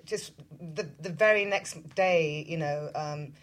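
A woman on a talk show panel, talking casually about personal things and halting as she thinks aloud. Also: sits low.

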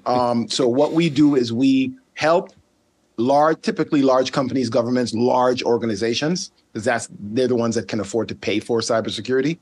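A man who grew up in the Caribbean and whose first language is Dutch, talking as an interview guest. He speaks at a medium pitch, thoughtfully, pausing on fillers and restarting his sentences. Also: steady tone, a little mellow, breathy edge. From Saint Martin, Caribbean. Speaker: guest, ethical hacker. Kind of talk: conversational interview.

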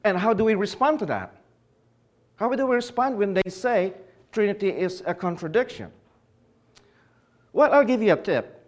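Man from the philippines, conference speaker, slightly high voice, questions the audience. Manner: explanatory, asks the audience questions. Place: conference hall.

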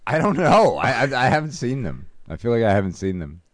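A man's voice: mid-range voice, very animated, brisk, dry recording, clean studio sound. A man co-hosting a podcast, chatting in a crude, wry way. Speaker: podcast co-host. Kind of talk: casual chat.

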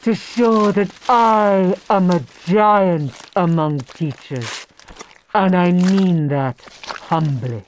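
A woman playing a vain, pompous art teacher in a children's audiobook, with grandiose, drawn-out delivery and mangled fancy words. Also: on the low side, slight background noise, poor audio. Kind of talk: theatrical children's audiobook character acting.

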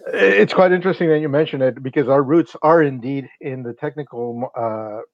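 A man's voice: medium pitch, moderate pace, dry recording, muffled recording. A man from Honduras, a company's sales and marketing director, explaining things at length in a casual interview with many fillers.

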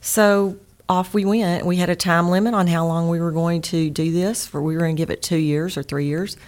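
Low-voiced middle-aged East Texas woman. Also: steady tone, moderate pace, a little mellow. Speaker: interview guest, home warranty sales manager. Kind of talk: casual conversational interview answers.

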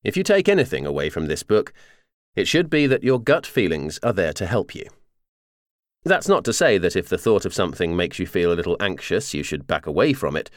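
Male audiobook narrator, low voice, expressive intonation, measured scripted memoir reading, clear articulation. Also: no echo, studio quality.

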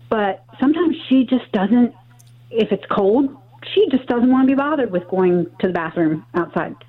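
A woman from Delaware calling a radio show, friendly and a little hesitant. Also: fairly high pitch. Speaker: caller. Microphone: telephone. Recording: tinny phone line.

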